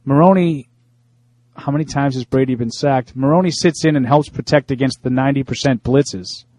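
A man's voice: medium pitch, darkish tone, dry recording. Dry-sounding man co-hosting sports radio. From Italian-American. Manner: conversational, reads emails aloud with halting fillers. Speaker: sports radio co-host reading listener emails. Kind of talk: casual sports talk.

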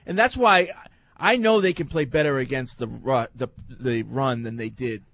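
A man's voice: medium pitch, moderate pace, fairly bright tone, dry recording. A male sports radio host taking calls, agreeable and hedging.

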